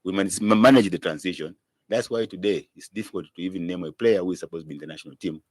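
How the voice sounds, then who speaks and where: A man's voice: sits low, fast talker, no echo. A man with a Zambian accent giving opinionated, spontaneous interview answers, stressing points by repeating himself. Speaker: football commentator being interviewed.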